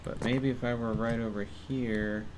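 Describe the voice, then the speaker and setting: A man's voice: mid-range voice, little pitch movement, moderate pace, noisy background. Man thinking aloud over gameplay. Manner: thinking aloud, mild frustration. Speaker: game streamer. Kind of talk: casual live gameplay commentary.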